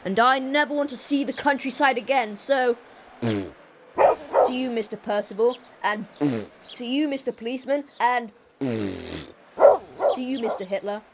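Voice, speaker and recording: A woman's voice: a little high, very animated, unhurried, pauses often, heavy background noise. A woman with an English accent playing an earnest, plucky ten-year-old evacuee boy in a wartime radio drama, with a childlike way of talking. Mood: gleeful, defiant.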